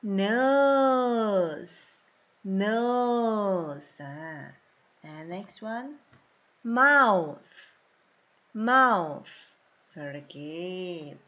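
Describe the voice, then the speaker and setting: A woman teaches beginners, stretching every word very slowly. Her voice sits a little high, and she drills each phrase in a sing-song way. The recording sounds dull and lo-fi. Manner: slow, exaggerated drilling with sing-song prompts and soft asides. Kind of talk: slow repeat-after-me classroom lesson.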